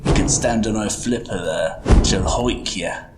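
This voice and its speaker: A man's voice: lowish voice, a little slow, very noisy, close to an everyday voice. A man voicing a chatty, folksy goblin tinkerer who guides the listener through a playful fantasy bedtime story. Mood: fond, wry. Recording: music behind the voice. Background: music.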